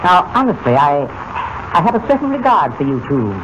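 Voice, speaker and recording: An actor playing a falsely solicitous, insistent invisible villain in an old-time radio drama. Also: male voice, fairly high pitch, sing-song, brisk. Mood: falsely concerned, urging. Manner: insistent, falsely polite warnings. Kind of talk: 1940s radio drama acting. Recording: noisy, muffled old radio broadcast.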